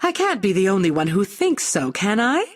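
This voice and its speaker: A haughty, pampered adventuress from a fantasy game. She complains with disdain, her mid-pitched voice swinging expressively from line to line. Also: sing-song, normal speed. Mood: disdainful. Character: spoiled, haughty luxury-loving female adventurer.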